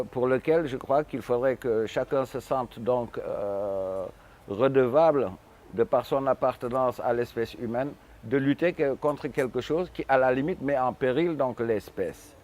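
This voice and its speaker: Man, sixties, Senegalese accent, medium pitch, formal, deliberate, articulate, reflective interview talk. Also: darkish tone. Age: 60s. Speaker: musician interviewee.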